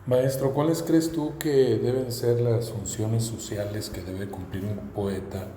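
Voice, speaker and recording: A man's voice: on the low side, steady tone, measured pace, mellow, a little hiss or hum. A man hosting a podcast, asking polite, informal questions. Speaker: podcast host. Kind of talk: casual interview questions.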